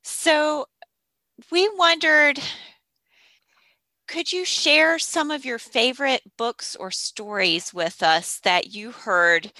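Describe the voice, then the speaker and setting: Woman host, bright sharp voice, friendly q's, dry room. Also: high voice, slow pace, no echo. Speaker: podcast host. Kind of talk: casual interview chat.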